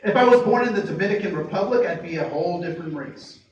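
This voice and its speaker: A man's voice: sits high, animated, a little mellow, dull audio. Guy running a workshop, far from the mic, lots of room echo and faint hiss. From United States. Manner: asks the audience many rhetorical questions; slows down to stress key phrases. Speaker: diversity trainer. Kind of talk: workshop presentation to a live group. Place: training room with tables. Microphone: distant mic picking up the room.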